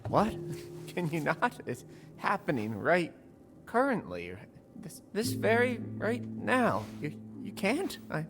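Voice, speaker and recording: Stammering, insecure young hero. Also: male voice, mid-range voice, animated, unhurried, pauses often. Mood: flustered. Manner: stammering, hesitant, self-doubting. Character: timid, insecure young male rookie hero. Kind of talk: video game voice acting. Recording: game audio with music behind.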